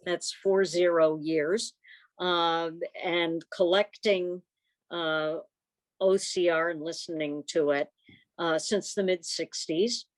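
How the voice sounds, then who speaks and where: A woman talks on a video call as an informal meeting host. Her delivery is slow and halting, with an unhurried, unscripted feel as she moves between club business and introductions. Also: fairly low pitch, fairly even intonation.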